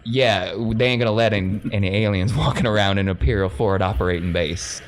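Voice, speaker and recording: A man's voice: on the low side, brisk, natural voice. A man playing a confident crime boss in a tabletop game, with folksy phrasing. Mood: smug, commanding. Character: confident male crime boss making a deal. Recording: noticeable background noise.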